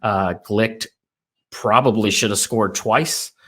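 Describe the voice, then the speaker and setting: A man hosting a casual soccer podcast, eager and talking in quick bursts as he asks his guest questions. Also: fairly low pitch, fairly bright tone, husky. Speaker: podcast host.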